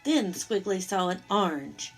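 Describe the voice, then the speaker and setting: A woman reading a picture book aloud to young children, with expressive, simple asides as she goes. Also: mid-range voice, measured pace, soft background music. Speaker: storytime reader for young children.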